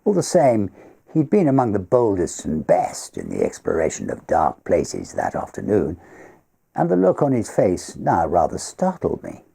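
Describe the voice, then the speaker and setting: Male storyteller, low and very husky, theatrical measured phrasing for literary ghost tales. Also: huge pitch swings, dark tone. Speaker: story reader.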